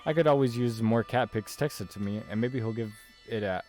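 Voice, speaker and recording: A man's voice: fairly low pitch, moderate pace, a little mellow, faint music behind, natural voice. A man voicing an eager, kindly young girl who loves animals, in a visual novel read-aloud. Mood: pleased.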